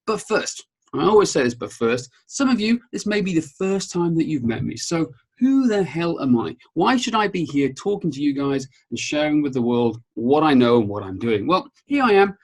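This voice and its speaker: A man's voice: fairly high pitch, big pitch swings, normal speed. A Welsh man whose first language is Welsh, a strength coach giving an upbeat webinar presentation and often checking in with "okay?". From Wales.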